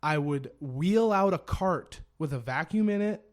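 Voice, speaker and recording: A man in his thirties, a business owner guest telling his story in a casual, animated way and often repeating phrases. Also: fairly high pitch, unhurried. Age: 30s. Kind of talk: casual conversation.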